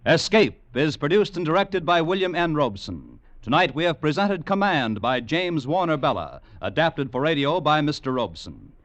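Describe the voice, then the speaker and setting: Lively higher-voiced vintage radio announcer man. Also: moderate pace, few pauses. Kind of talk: 1940s radio announcing, scripted. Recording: dull vintage radio broadcast.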